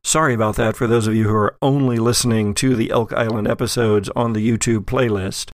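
A man's voice: on the low side, fairly even intonation, a little fast, no room sound, studio quality. A man narrating as game master and podcast host, shifting between theatrical storytelling and casual asides. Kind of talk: recapping and running a tabletop game.

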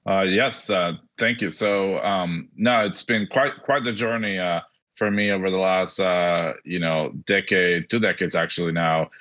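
A middle-aged man from Argentina, a native Spanish speaker, giving earnest, halting interview answers over dull, lo-fi audio. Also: low voice, a bit bright. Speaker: podcast guest, education technology executive.

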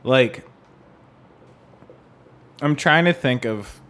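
A man co-hosting a podcast, talking casually about music in a halting way as he searches for words. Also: mid-range voice, lively intonation, fairly bright tone. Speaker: podcast co-host. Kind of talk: casual music discussion with some theory.

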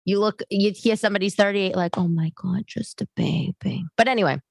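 A woman in her late thirties hosting a podcast, talking casually and bluntly to her listeners in an animated, conversational way. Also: medium pitch, fairly quick, creaky, slightly rough, no echo, clean studio sound. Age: late 30s. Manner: animated, conversational, acts out others' remarks. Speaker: podcast host. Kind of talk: casual, chatty monologue to listeners.

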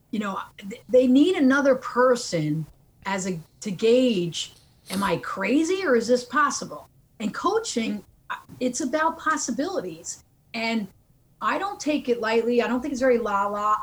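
A woman with a fairly high voice speaks as a life coach. She explains things in an earnest, encouraging way and laughs easily. A faint hum sits under her voice. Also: rough recording. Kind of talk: casual interview answers.

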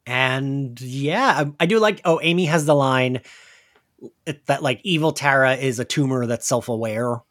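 A man's voice: on the high side, a bit bright. A man co-hosting a podcast, chatting casually about an audio drama with lots of "like" and trailing asides. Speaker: podcast co-host.

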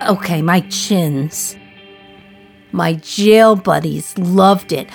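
A woman's voice: on the low side, extremely slow, gravelly, slightly rough, soft background music, heavily acted. An actress playing a condemned woman prisoner in her thirties, talking to the listener in a wry, sardonic, confiding way in a radio play monologue. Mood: wistful.

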